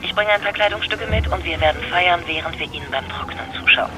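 A man's voice: high-pitched, quick pace, close to an everyday voice. An actor playing an exuberant, pushy, flirtatious man proposing a date in a radio play.

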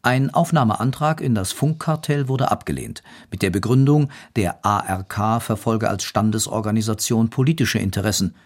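A man's voice: fairly low pitch, moderate pace, hardly any pauses. A man delivering scripted narration for a historical radio documentary.

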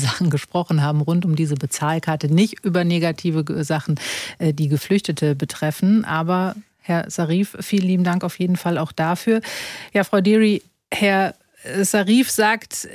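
A radio host moderating a call-in discussion, friendly and brisk, guiding guests with questions. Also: mid-range voice, a little mellow, no room sound.

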